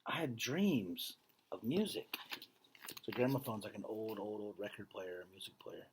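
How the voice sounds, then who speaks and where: A man reading a picture book aloud like a teacher to students, with measured phrasing and casual explanatory asides. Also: on the low side, expressive, slight background noise, rough recording.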